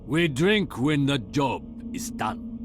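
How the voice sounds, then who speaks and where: An actor playing a proud, brooding exiled archer with a Japanese accent, stern and formal, in a fantasy video game. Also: male voice, mid-range voice, very animated, takes it slowly. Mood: solemn. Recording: voice over loud music.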